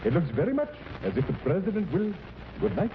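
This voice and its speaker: An actor playing a pompous, overdramatic news commentator with theatrical, halting delivery in 1940s radio comedy. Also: male voice, high voice, huge pitch swings, full character voice. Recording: noisy, dull 1940s radio broadcast.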